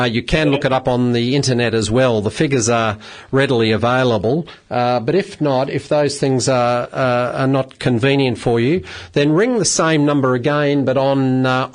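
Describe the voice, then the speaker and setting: A middle-aged Australian man hosting a radio phone-in legal advice show, measured and polite, with many filler sounds. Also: medium pitch. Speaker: radio phone-in host, solicitor. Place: radio studio.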